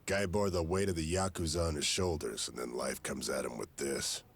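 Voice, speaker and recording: Deep, gravelly old yakuza veteran. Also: male voice, fairly even intonation, moderate pace, exaggerated voice. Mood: somber. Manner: terse, dry, understated. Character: stoic, world-weary old yakuza veteran. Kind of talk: video game cutscene acting.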